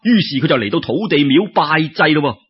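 A man's voice: on the high side, animated, moderate pace, breathy edge. A man with a Cantonese accent narrating a folk tale in colloquial oral storytelling style. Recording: dull lo-fi radio-style recording.

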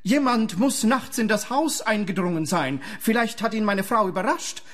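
An actor playing a nervous, defensive lawyer in a radio play, indignant. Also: male voice, high-pitched, lively intonation, normal speed, fairly bright tone.

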